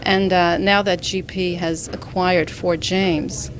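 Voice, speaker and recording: A woman's voice: fairly low pitch, little pitch movement, slow pace, noisy background. Enthusiastic middle-aged woman from Hungary. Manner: warm, enthusiastic, laughs easily. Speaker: communications manager. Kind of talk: casual interview. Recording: chatter from a busy crowd behind.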